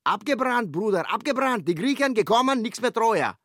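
An actor playing a fawning, folksy Turkish guide in a comic radio play, with a Turkish accent, broken grammar and a repetitive, chummy way of talking. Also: male voice, high-pitched, lively intonation, a little fast, fairly bright tone, no room sound, clean studio sound. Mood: chummy, boastful. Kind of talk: comic audio drama acting.

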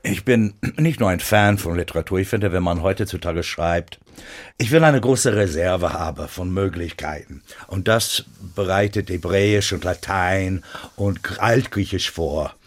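A man whose first language is English, with an American accent, speaking German as a radio interview guest and often stopping to search for words. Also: deep voice. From United States. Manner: halting, searching for German words, frequent restarts. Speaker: guest pianist.

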